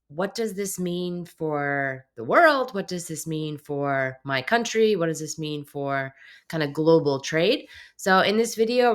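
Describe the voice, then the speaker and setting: Low, raspy woman host. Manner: conversational, hedging with filler phrases. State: hoarse from a cold. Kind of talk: explainer talk to camera. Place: home office.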